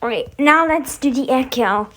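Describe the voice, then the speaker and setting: A woman's voice: high-pitched, normal speed, a bit bright. A woman hosting a channel, casually talking through a demo of voice effects. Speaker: channel host.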